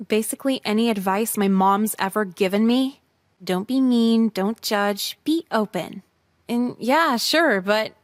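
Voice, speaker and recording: A woman voicing a jaded, sarcastic teenage schoolgirl in a visual novel game, with a deadpan, foul-mouthed delivery. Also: medium pitch, natural voice.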